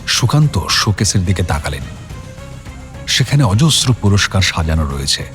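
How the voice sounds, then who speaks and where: A man with a deep voice narrates a dramatic scripted story. His delivery swings widely in pitch, and music plays behind him. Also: very animated, normal speed, a bit bright.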